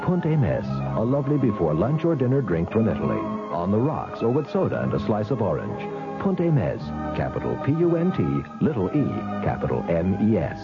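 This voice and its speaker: A man's voice: on the low side, wildly swooping pitch, fairly quick, over loud music. A man announcing 1970s radio commercials in an emphatic, salesmanlike scripted style. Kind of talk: 1970s radio advertisement read. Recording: dull 1970s radio broadcast recording.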